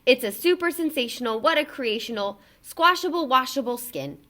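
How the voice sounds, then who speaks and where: A woman reading a children's picture book aloud in an expressive, emphatic storytelling delivery. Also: sits high, normal speed.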